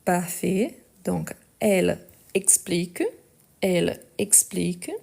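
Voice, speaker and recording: A woman teaching a live online French lesson, speaking slowly and carefully, repeating each sentence and spelling out the verb endings. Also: lowish voice, fairly even intonation, gravelly, warm tone.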